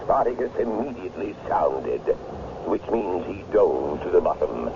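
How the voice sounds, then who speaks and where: An actor playing a grave, pompously philosophical male news commentator, measured and portentous, in a 1970s radio drama. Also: low voice, sing-song, heavily acted. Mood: grave, wry. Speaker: news reporter. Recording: tinny, noisy 1970s radio recording.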